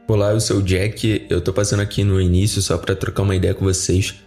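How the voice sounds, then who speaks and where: A man's voice: sits low, flat delivery, fast talker, a little mellow, faint music behind. A Brazilian man with a slightly off, flu-affected voice, chatting informally and in a rambling way to his listeners as a podcast host. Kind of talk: casual chat to listeners.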